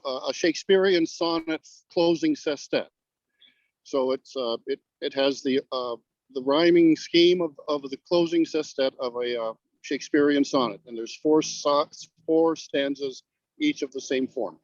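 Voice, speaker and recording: Older man, higher-pitched, halting. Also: dry recording. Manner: halting, deliberate. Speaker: guest poet. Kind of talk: explanatory interview talk. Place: outdoors on grass in a parking lot. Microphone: remote video call. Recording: dull, lo-fi call audio.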